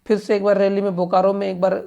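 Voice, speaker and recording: झारखंडी लहजे में ज़ोर देकर समझाती महिला. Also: female voice, medium pitch, flat delivery, quick pace, creaky, dark tone, highs cut off. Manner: repetitive, emphatic, restating points. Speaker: news commentator. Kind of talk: informal political commentary and explainer, addressing government directly.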